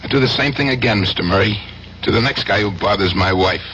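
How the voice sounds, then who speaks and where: An actor with an American accent playing a remorseless, casually polite working-class killer in a radio drama. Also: male voice, lowish voice, fast, bright, forceful tone. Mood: cold, boastful. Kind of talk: 1970s radio drama acting. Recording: muffled noisy vintage radio broadcast.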